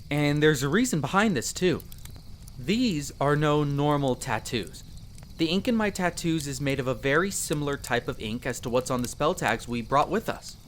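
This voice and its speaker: Casting: a man to play a young male elf explorer for roleplay audio drama. Friendly, self-deprecating, sincere, medium pitch, speaking one-to-one over outdoor background noise. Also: a bit bright, lightly acted. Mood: modest, explaining. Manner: conversational, self-deprecating, earnest.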